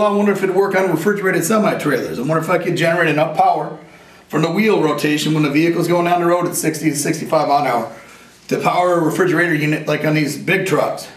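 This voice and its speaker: A man in his forties with a raspy voice, an inventor talking in a casual, rambling and earnest way, sometimes joking about himself. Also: fairly high pitch. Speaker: inventor and veteran interviewee. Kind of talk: casual interview talk. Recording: roomy echo, from a distance.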